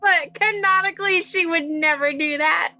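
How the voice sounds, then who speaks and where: A woman playing a tabletop role-playing game, bantering casually in an animated, sweary, exclaiming way. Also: extremely high pitch, moderate pace, faint music behind.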